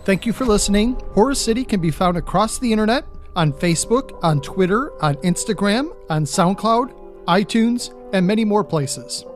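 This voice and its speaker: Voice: medium pitch, lively intonation, moderate pace. An announcer reading scripted podcast credits. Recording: music under the voice. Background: music.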